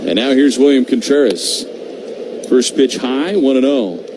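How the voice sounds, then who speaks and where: Male baseball radio announcer, mid-pitched, conversational yet excitable, clear stadium noise behind. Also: unhurried. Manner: excited home-team calls, conversational between pitches. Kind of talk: live radio play-by-play commentary. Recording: noisy live radio broadcast feed.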